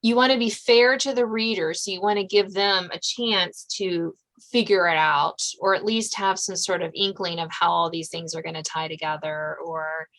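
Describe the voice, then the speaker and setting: A woman's voice: on the low side, steady tone, normal speed, hardly any pauses, a bit bright, low-quality recording. A woman hosting a podcast in casual conversation, talking emphatically and expressively as she explains. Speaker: podcast host, suspense author. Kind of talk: casual interview chat.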